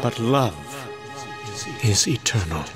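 Man playing a slow, brooding, world-weary wanderer. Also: on the low side, expressive. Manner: solemn, measured, theatrical. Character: weary, disillusioned male wanderer, solemn and brooding. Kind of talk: scripted dramatic comic narration. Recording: loud music and sound effects behind.

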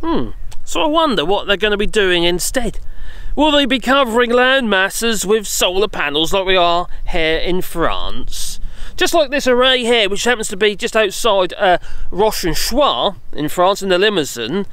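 A man's voice: sits high, big pitch swings, moderate pace, pushed and bright, clean tone, dry recording. A man, chatting opinionatedly and digressing in a casual selfie vlog, recorded on a phone held at arm's length outdoors beside fields. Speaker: vlogger.

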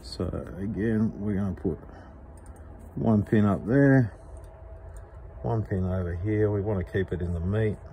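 A middle-aged Australian man, a knife maker, explaining his work step by step in a relaxed, practical way while he works at the bench. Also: lowish voice, unhurried, pauses often, a little hiss or hum, rough recording. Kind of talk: workshop tutorial voice-over. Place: home workshop.

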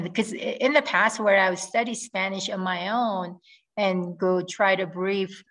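Middle-aged woman, native korean speaker, lowish voice, earnest with lots of ums, webcam call from home. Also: low-quality recording. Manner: earnest, with frequent ums and restarts. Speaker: guest air force officer. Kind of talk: video call interview. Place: home room with ceiling fan. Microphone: laptop webcam on video call.

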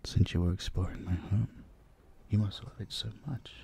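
A man playing an obsessive, possessive vampire captor in an intimate audio drama, hushed, teasing and dominant, menacingly sweet, dipping into whispers. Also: deep voice, normal speed, vocal fry, slight background noise. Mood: tender, possessive. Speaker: voice actor. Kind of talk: intimate roleplay audio drama, addressing the listener.

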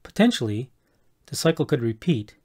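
Middle-aged man, mid pitch, measured scripted narration for a home-improvement tutorial. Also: big pitch swings, a little mellow. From Colorado, USA. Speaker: homeowner explaining a DIY project.

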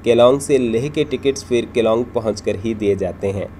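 Male travel vlogger, mid-pitched and soft-toned, informative scripted narration over noticeable ambient noise. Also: moderate pace.

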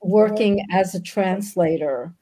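An older woman whose first language was Yiddish, speaking as a guest author on a video call from a book-lined room, pausing often with ums. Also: medium pitch, dark tone. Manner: thoughtful, frequent ums. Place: home room with bookshelves.

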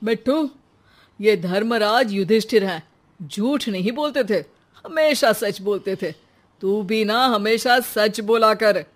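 An old father from an animated cartoon, played by a performer, upright and easily exasperated. He chides and moralizes like the head of the household. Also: medium pitch, takes it slowly, lightly acted. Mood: chiding. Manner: chiding, moralizing. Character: principled, exasperated elderly father, grey-haired family patriarch.

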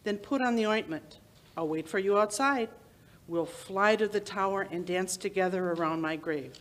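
A woman's voice: medium pitch, normal speed, close to an everyday voice. Woman as an eerie, coaxing witch. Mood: sinister, luring. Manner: coaxing, sinister, theatrical. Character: beckoning, eerie witch risen from the grave, tempting. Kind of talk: old-time radio drama acting. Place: small indoor stage, live performance. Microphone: vintage-style stand microphone.

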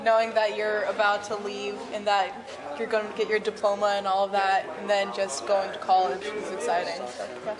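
A teenage young woman, a high school senior, answering casually in an interview. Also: little pitch movement, moderate pace, crowd noise. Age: teens. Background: music.

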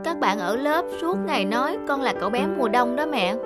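A sheltered cartoon boy, high-pitched and plaintive, protesting like an embarrassed son. Loud music runs behind the voice. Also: lively intonation, brisk. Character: frail, sheltered, embarrassed teenage boy, pleading with mother. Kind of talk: animated moral-tale dubbing.

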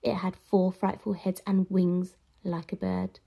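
A woman in her thirties reads a children's story expressively. Her voice is medium in pitch and mellow, with creak and a husky edge. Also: little pitch movement, unhurried. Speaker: storybook reader.

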